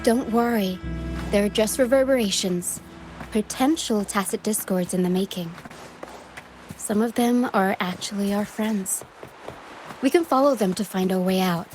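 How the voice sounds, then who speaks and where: Gentle, solemn young woman, voiced by an actress, over music. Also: medium pitch, measured pace, long pauses, lightly acted, poor audio. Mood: calm, reassuring. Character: gentle, protective young woman guiding others through mist. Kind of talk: video game voice acting. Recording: game music behind the voice.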